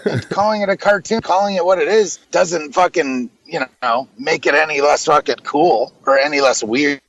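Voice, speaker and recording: An American man talks casually as a podcast co-host in a creaky, gravelly voice. His way of talking is blunt and full of slang and swearing. The sound is dry, with little room in it. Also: on the high side, normal speed, a bit bright, no echo, muffled recording. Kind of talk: casual profane chat.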